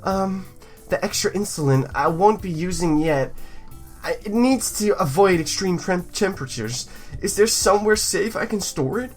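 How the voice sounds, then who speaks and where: A man's voice: high-pitched, expressive, normal speed, faint music behind. A man voicing a reserved, tentative female student, the plain-spoken heroine of a visual novel. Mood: hesitant, polite.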